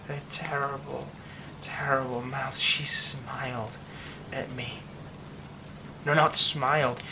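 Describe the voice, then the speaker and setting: A man voicing a haunted young man hiding a werewolf curse, speaking in a hushed, halting way that often drops to a whisper. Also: mid-range voice, background noise. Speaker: ASMR roleplay performer. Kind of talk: ASMR horror roleplay.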